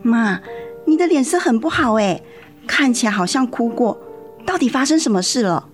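一位女演员在广播剧中饰演十七岁、爱赌气又叛逆的姐姐。. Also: female voice, a little high, animated, moderate pace, soft background music, lightly acted. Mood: worried. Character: sulky, defiant seventeen-year-old girl, elder sister. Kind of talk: radio drama acting.

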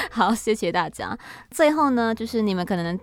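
A woman's voice: on the high side, sing-song, unhurried, no echo. A woman with a Taiwanese accent hosting a podcast in casual solo talk, chatty, informal and a little self-deprecating. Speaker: podcast host. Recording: music playing under the voice. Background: background music.

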